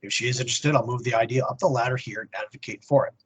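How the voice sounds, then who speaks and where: A man's voice: mid-range voice, very fast, slightly rough. A man co-hosting a podcast, chatting casually and reading listener mail aloud. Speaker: podcast co-host.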